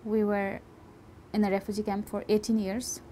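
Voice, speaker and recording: A woman from Bhutan telling her own story in an interview, halting and searching for words. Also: mid-range voice, flat delivery, dark tone. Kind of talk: recounting personal story.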